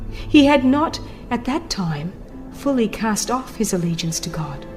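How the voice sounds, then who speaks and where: A woman giving a dramatized, scripted narration of a religious book in a solemn, deliberate, theatrical manner, her phrases often ending hushed. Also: fairly high pitch. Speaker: audiobook narrator. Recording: music behind the voice. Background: background music.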